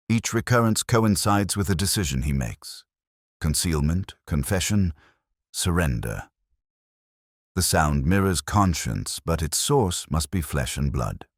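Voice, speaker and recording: A man voicing a coolly precise, commanding detective with a crisp, dry, clipped delivery in a story reading. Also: extremely low pitch, very animated, slow, no echo, heavily acted. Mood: commanding, analytical.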